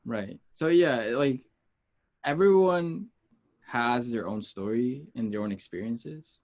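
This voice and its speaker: A man from the United States chatting casually as an interview guest, informal and rambling, pausing to gather his thoughts. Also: mid-range voice, expressive, darkish tone. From United States, Peruvian heritage. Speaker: interview guest, music artist.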